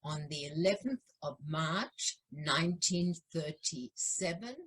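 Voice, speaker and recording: A woman in her eighties from Austria giving personal testimony over a video call, measured, with small restarts. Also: deep voice, animated, a bit bright, slightly rough, low-quality recording. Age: 80s. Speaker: Holocaust survivor interviewee.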